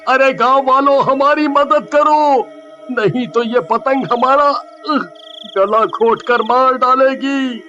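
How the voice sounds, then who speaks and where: Very high-pitched panicky village man acted out. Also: fairly even intonation, takes it slowly, sharp tone, exaggerated voice. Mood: urgent. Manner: whiny, pleading, exclamatory. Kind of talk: comic horror cartoon story acting. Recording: dull, lo-fi with music. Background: music.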